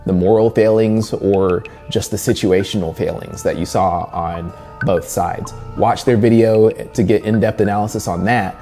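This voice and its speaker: A man hosting a literature channel, narrating a scripted video essay with dramatic pauses and emphasis. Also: lowish voice, fairly even intonation, warm tone. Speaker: host of a horror literature channel. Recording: music bed behind the voice. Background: background music.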